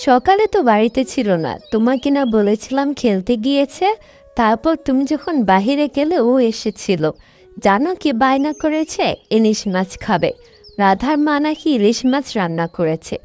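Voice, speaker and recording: A woman voices a caring village wife and mother in a cartoon. Her delivery is expressive, fairly high and swings widely in pitch. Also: moderate pace, faint music behind, lightly acted. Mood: fretful. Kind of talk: Bengali moral-story cartoon dialogue.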